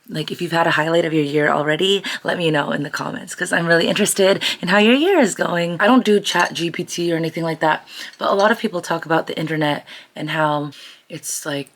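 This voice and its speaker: A woman with an American accent and a low-pitched voice that is raspy from a lot of singing. She talks like a vlogger in an upbeat, effusive, chatty way, addressing her viewers casually. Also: quick pace.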